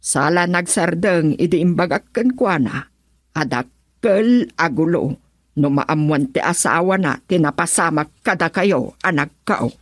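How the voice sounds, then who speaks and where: Stern, husky-voiced Filipino mother. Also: on the low side, animated, a little slow, no room sound. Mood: indignant recounting. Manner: scolding, emphatic. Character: stern, aggrieved mother confronting and protecting her pregnant daughter. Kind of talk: Ilocano radio drama acting.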